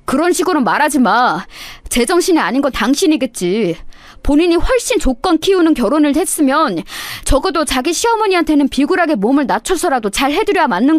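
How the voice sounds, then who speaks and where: A woman's voice: sits high, dry recording. A woman voicing an evasive, defensive husband who blames his wife in a dramatized story. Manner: defensive, blaming. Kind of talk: dramatized radio story dialogue.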